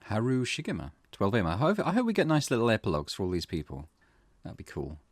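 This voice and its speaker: A man's voice: fairly low pitch, huge pitch swings, fast, no echo, studio quality. A man reading visual novel dialogue aloud as he plays, with game music behind him. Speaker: game streamer.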